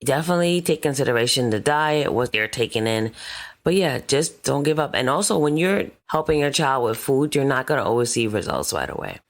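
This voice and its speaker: A woman co-hosting a podcast, with a deep voice and a playful, upbeat way of talking. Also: vocal fry. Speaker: podcast co-host. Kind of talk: casual podcast talk.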